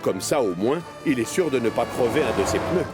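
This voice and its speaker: A man's voice: medium pitch, animated, brisk. A man narrating a scripted children's story as the storyteller of a cartoon. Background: music.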